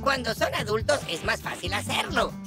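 An actor voicing a kindly elder teacher, a tiny cartoon creature, explaining things in an instructive, expressive way. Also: medium pitch, normal speed, music in the background, lightly acted. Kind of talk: animated cartoon dubbing, explanatory narration.

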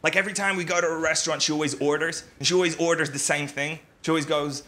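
A man's voice: on the high side, steady tone, quick pace. A man from the UK doing stand-up comedy in a conversational, filler-heavy way, from a live clip with crowd noise. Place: theatre stage. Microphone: handheld mic.

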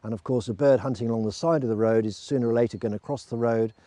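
A man's voice: medium pitch, quick pace, mellow, dry recording. A British man in his forties presenting an explanatory nature talk outdoors, posing questions and then answering them. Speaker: wildlife educator and presenter. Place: outdoors on open farmland hillside.

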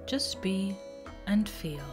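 A woman reading a guided meditation, giving slow, deliberate instructions in short phrases. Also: medium pitch, expressive. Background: relaxing background music.

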